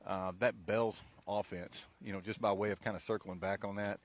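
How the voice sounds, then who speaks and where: Color man, deep-ish voice, measured and folksy delivery. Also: fairly even intonation. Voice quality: low voice. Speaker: color commentator. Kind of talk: local radio football commentary.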